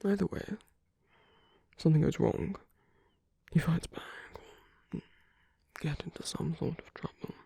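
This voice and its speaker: A woman performs a flirtatious villain in an intimate audio roleplay. The voice is very husky and breathy, dark and low, slipping into coaxing whispers that are teasing and tender toward an enemy. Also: steady tone, measured pace, long pauses, no room sound, studio quality, heavily acted. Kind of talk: intimate ASMR roleplay acting.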